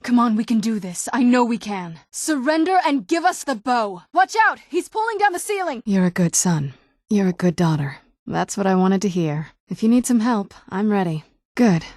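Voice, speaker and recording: A woman's voice: medium pitch, big pitch swings, moderate pace, darkish tone, dry recording. An actress playing a young female vampire companion in a video game, with a dry, guarded, sardonic manner. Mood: calm, friendly, then fierce, combative. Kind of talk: video game character voice acting.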